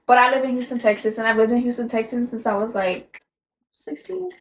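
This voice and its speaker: A woman's voice: fairly high pitch, a little fast. A woman in her twenties from Tampa, co-hosting a casual chat, with laid-back slangy banter and frequent laughs. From Tampa, Florida. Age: 20s. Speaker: podcast co-host.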